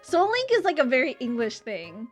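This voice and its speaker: High voice woman streamer, giggly and sorry-sounding, faint music behind. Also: wildly swooping pitch, normal speed. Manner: giggly, apologetic, switches to Vietnamese for chat. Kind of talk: casual chat while streaming a game.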